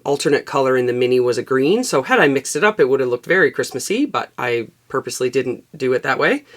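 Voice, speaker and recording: A woman, hosting a knitting vlog in relaxed, rambling chat. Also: extremely low pitch. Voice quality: raspy. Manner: relaxed and rambling, with frequent fillers. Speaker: knitting podcast host. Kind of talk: casual chatty knitting vlog. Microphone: external microphone.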